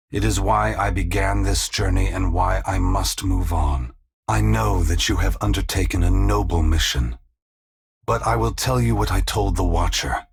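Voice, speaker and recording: A man playing a solemn, ancient god in a video game, speaking in a grave, measured, formal way with gentle sorrow and resolve. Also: sits low, exaggerated voice. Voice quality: gravelly, husky. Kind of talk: scripted dramatic game dialogue.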